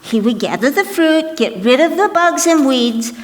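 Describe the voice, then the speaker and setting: A woman's voice: high voice, lively intonation, moderate pace, big-room sound. A woman playing a brash, grumpy, wisecracking substitute storyteller in a comic children's skit. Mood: theatrical narrating. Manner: sassy, exasperated, theatrical reading.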